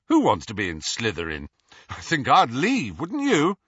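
A man's voice: fairly low pitch, huge pitch swings, a little fast, a bit bright, breathy edge, no room sound, lo-fi sound, exaggerated voice. A man voicing a cocky, boastful young boy in an audiobook story, brash and confident. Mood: scornful.

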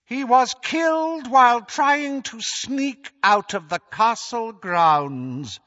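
A man voicing a cruel dark lord with a soft, hissing, silky voice, drawling his words slowly and mockingly. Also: extremely high pitch, expressive, no echo, lo-fi sound, pitched above the performer's own voice, full character voice. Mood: gloating. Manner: slow, drawn-out, mocking.

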